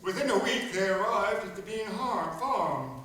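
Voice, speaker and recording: A man's voice: high-pitched, animated, moderate pace, loud music behind the voice. A man playing a genial author who narrates his animal stories in a scripted radio play. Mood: storytelling. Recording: echoey hall, distant, faint noise.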